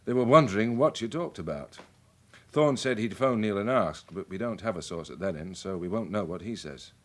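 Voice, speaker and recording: An actor playing a worldly, middle-aged British police chief in a radio drama, measured and wry as he explains things. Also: on the low side, a bit bright. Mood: amused, confiding. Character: worldly, wry senior police chief briefing his sergeant.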